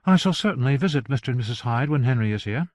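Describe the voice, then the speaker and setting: A man's voice: mid-range voice, expressive, quick pace, no echo, studio quality, natural voice. A man voicing an earnest young wife who talks eagerly and persuasively, in a storybook reading.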